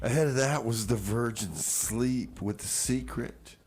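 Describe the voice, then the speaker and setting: A male radio host with a mid-pitched voice chats in a laid-back, playful, rambling way. He drifts between casual banter and song announcements, with faint noise behind him in the studio. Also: a little slow, darkish tone.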